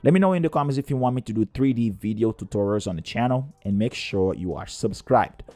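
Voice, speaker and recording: A man's voice: fairly low pitch, animated, fast, mellow, no echo. A man narrating a scripted step-by-step coding tutorial in a methodical, explanatory way. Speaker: tutorial presenter.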